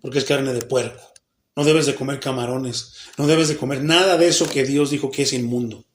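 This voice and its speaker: A man's voice: medium pitch, fairly quick, slight background noise. A Mexican man, a pastor with a Mexican Spanish accent, giving a Bible study sermon in a church hall. He keeps putting questions to the congregation and answering them himself. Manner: acts out the people he quotes, even mock babbling.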